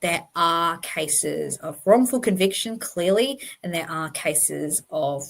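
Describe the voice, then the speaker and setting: Female host, true crime commentary. Slightly low voice, slight lisp, earnest and conversational delivery. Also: expressive, slow, gravelly. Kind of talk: informal explanatory monologue.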